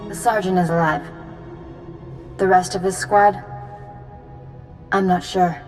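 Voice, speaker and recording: An actress playing an earnest, caring female Jedi ally in a video game, speaking in a formal, measured manner. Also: lowish voice, steady tone, darkish tone, faint music behind. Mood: solemn.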